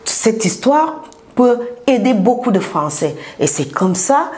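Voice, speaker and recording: A woman from southern Cameroon with a Cameroonian accent, a therapist explaining her work in an unscripted interview, in halting phrases with pauses. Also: fairly high pitch, sing-song, a little mellow. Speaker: massage therapist and business owner, interview guest.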